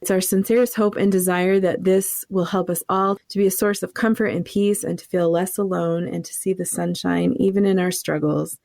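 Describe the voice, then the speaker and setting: A woman's voice: lowish voice, fairly even intonation, moderate pace, creaky, hardly any pauses, darkish tone, no echo. Earnest middle-aged woman podcast co-host. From New England, USA. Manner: earnest, faith-centered, laughs easily. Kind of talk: casual podcast chat.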